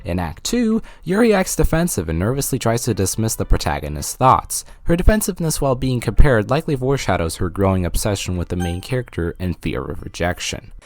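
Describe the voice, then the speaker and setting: A man's voice: fairly low pitch, big pitch swings, fairly quick, hardly any pauses, dry recording. A man narrating a scripted, jokey video game review with sarcastic, playful asides.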